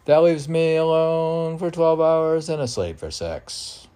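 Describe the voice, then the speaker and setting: A man's voice: fairly high pitch, takes it slowly, warm tone, clear, resonant tone. A man reading his own poems aloud as a poet, with declamatory, emphatic delivery.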